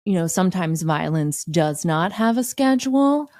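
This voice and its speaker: A woman's voice: on the low side, expressive, moderate pace, a little mellow, dry recording, clean studio sound. A woman guest on a podcast, talking casually in an interview.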